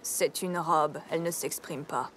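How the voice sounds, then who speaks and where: A woman voicing a stoic young female cartoon pony, speaking in a slow, unemotional monotone. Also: low-pitched, noisy background. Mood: deadpan. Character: stoic, deadpan young female pony who loves rocks. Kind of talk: French-language cartoon dub. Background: music.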